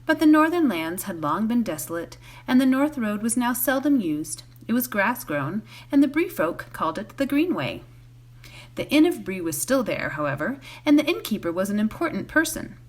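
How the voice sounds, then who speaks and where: A woman reading a novel aloud as a bedtime story, in an expressive narrating style. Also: a little high, moderate pace. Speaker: volunteer story reader.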